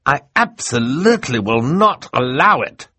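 A stuffy middle-aged banker acted by a male narrator, flustered and indignant. He blusters with heavy emphasis, his pitch leaping about and his voice husky, as in a storybook reading. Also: very animated, unhurried, pushed and bright, no room sound, exaggerated voice. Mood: gasping indignation. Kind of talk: audiobook character acting.

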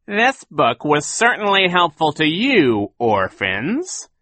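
A man voicing a sinister, scheming male villain from a storybook, with a theatrical, menacing, drawn-out delivery. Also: a little high, huge pitch swings, bright, forceful tone, dry recording, pitched above the performer's own voice, full character voice. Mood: gloating.

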